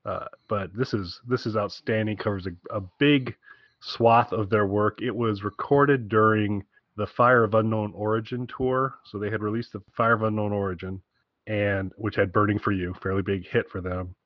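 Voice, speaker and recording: A man's voice: on the low side, steady tone, moderate pace, no echo, muffled recording. A man co-hosting a podcast, rambling casually and enthusiastically about music recommendations with wry asides. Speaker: podcast co-host giving album recommendations.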